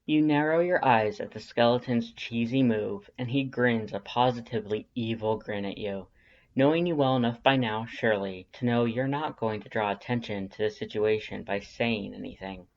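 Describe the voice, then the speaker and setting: A man's voice: medium pitch, normal speed. A man reading scripted second-person fiction as an audiobook narrator. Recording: slightly dull lo-fi audio.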